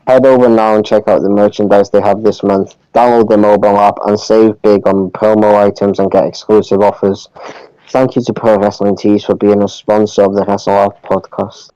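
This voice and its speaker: A man's voice: fairly low pitch, little pitch movement, moderate pace, dark tone, clean tone, dry recording. A man co-hosting a podcast, reading a scripted sponsor message over a slightly dull microphone. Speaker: podcast co-host.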